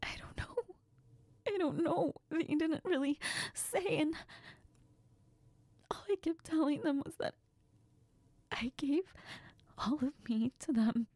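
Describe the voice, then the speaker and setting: A high-pitched, tearful young woman acted by a woman in an intimate audio role-play. She is heartbroken and apologetic, speaking slowly and haltingly between sniffles and whispers, very close and dry. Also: mellow, no room sound. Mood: sobbing, heartbroken. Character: heartbroken young woman sobbing to her best friend.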